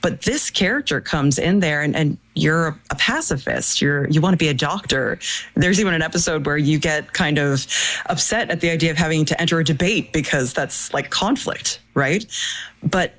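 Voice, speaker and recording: A man's voice: fairly high pitch, lively intonation, moderate pace, fairly bright tone. A man interviewing in a conversational, thoughtful way, laying out analytical points and checking them as questions.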